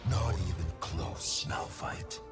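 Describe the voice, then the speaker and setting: A man voicing a cold, contemptuous cosmic warlord villain in a video game, taunting deliberately as one who speaks for many. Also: over music, lightly acted. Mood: cold contempt. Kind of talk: video game character voice lines.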